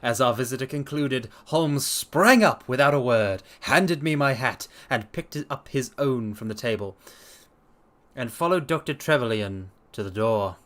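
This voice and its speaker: A man's voice: medium pitch, lively intonation, measured pace, a bit bright. A man streaming a dramatic reading, narrating a detective story and chatting casually with his audience.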